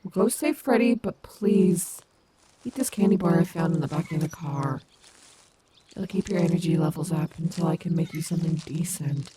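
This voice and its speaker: A woman's voice: fairly low pitch, moderate pace, mellow, natural voice. Cocky wolf woman, played by an actress, noisy background. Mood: reassuring. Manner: confident, sassy, doting on the listener. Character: cocky, protective female animatronic wolf, affectionate and bossy. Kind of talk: fan audio roleplay acting, addressing the listener.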